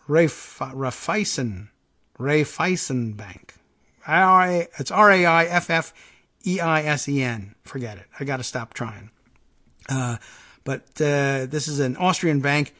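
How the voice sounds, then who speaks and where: Male talk-show host, slightly higher voice, reads headlines and adds dry asides, halting delivery with frequent ums. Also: a bit bright. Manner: halting, lots of ums and restarts, wry asides. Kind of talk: casual news commentary, reading headlines aloud.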